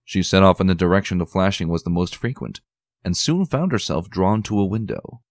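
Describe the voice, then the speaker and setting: Low-voiced man narrating a dark tale. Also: brisk, no room sound, studio quality. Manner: expressive, suspenseful storytelling. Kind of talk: dramatic story narration.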